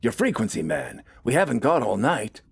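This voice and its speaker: Man voicing a gruff, scornful army corporal. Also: medium pitch, lively intonation, normal speed, no echo, pitched above the performer's own voice. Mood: bitter, impatient. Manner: curt, barking orders, sarcastic.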